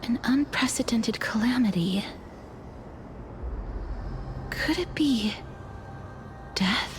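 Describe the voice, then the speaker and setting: A woman voicing a calm, thoughtful young warrior in a fantasy game, with a soft, measured, gentle delivery. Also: a little high, soft background music. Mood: pensive, curious.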